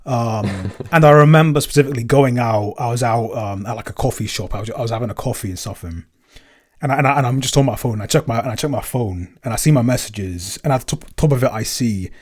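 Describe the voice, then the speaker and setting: A man's voice: mid-range voice, fast. A man co-hosting a casual Q&A, rambling and repeating phrases as he finds his words. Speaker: podcast co-host and producer. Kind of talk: casual Q&A chat.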